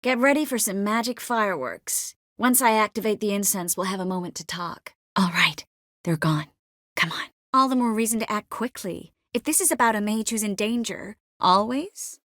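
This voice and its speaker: An actress playing a determined, warm young sorceress in a fantasy video game, speaking earnestly and expressively with a gently teasing manner. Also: female voice, mid-range voice, moderate pace, no room sound, studio quality, close to an everyday voice. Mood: serious, urgent. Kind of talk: video game dialogue acting.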